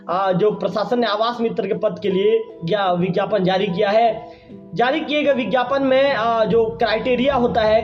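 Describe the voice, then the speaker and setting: A man giving an unscripted local news report in a halting, repetitive, explanatory way. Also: sits high. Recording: dull audio with music underneath.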